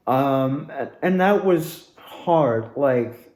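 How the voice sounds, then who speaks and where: A young man telling a casual personal story in a rambling, animated way, repeating words for emphasis. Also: mid-range voice, very slow. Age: young adult. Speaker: student actor recounting past performances. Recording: echoey, reverberant room.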